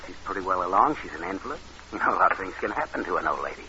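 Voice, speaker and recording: A calculating killer who tells his own story in a low, cold voice. It is vintage radio thriller acting, with a scheming edge. The recording is dull and heavy with noise. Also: big pitch swings, rapid-fire, sharp tone, exaggerated voice. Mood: scheming, urgent.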